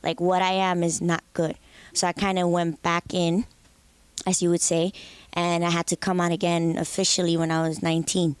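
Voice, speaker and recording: A woman's voice: on the low side, flat delivery, normal speed, smooth, dry recording. Candid young woman from the Dominican Republic. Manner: candid, reflective, with frequent restarts. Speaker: guest musician. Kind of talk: interview conversation.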